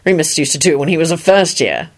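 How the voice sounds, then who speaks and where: A woman's voice: low voice, sing-song, fast talker, exaggerated voice. A woman voicing a huge, sonorous government minister in a storybook, stern and solemn with a wry streak. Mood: amused, affectionate. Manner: solemn, wry, bellowing when cross.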